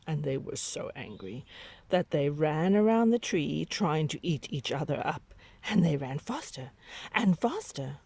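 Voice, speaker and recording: A woman reading a picture book aloud to young children, with dramatic, drawn-out emphasis and hushed whispery moments. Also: deep voice, sing-song, slightly rough, dry recording.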